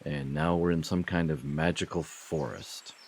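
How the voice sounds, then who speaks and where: A man with a husky voice playing himself in a comedic audio drama, with a wry, sarcastic manner. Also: very deep voice, steady tone, moderate pace, a little mellow. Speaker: podcast performer playing himself in a comedy skit.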